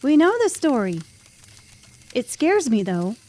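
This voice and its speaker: A woman's voice: on the high side, sing-song, a little slow, warm tone, voice pushed up. A woman voicing a timid, scared student girl in an audiobook.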